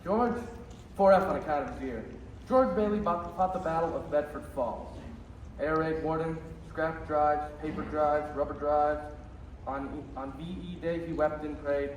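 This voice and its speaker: An actor playing a solemn heavenly narrator, telling the story in a measured, grave way in a stage radio play. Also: male voice, a little high, slight background noise, lightly acted. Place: high school theater stage. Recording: echoey distant stage, faint music.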